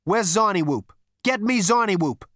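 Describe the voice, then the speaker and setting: A woman voicing a brash, swaggering alien man who talks loud and pushy. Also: mid-range voice, animated, moderate pace, dry recording, clean studio sound, pitched above the performer's own voice, close to an everyday voice. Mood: demanding.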